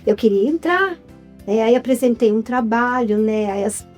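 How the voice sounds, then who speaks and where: A woman's voice: fairly high pitch, lively intonation, normal speed, darkish tone, faint music behind. A Brazilian-accented woman, a guest in a casual interview, telling her story in an upbeat way. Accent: Brazilian Portuguese. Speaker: guest, writer and film producer.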